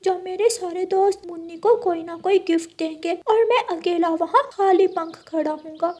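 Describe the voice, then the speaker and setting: Lady doing a stubborn lil boy bird from a kids cartoon, really high, whiny n pleading. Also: steady tone, normal speed, darkish tone. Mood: insistent whining. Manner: pleading, insistent, childlike. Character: stubborn, whining young boy bird, scheming schoolchild. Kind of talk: children's cartoon story dialogue.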